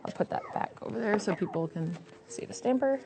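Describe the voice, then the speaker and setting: A woman's voice: medium pitch, animated, brisk, vocal fry, background noise. A woman hosting a live stream, cheerful and easily amused as she chats. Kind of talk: casual live chat.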